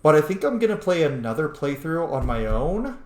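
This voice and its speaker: An excitable man chats through a video game for his viewers in a fairly high voice that moves up and down freely. He talks informally and swears casually. The room adds noticeable echo to his voice. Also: normal speed. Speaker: let's play host. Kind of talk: casual gameplay commentary to viewers. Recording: roomy echo, faint background noise.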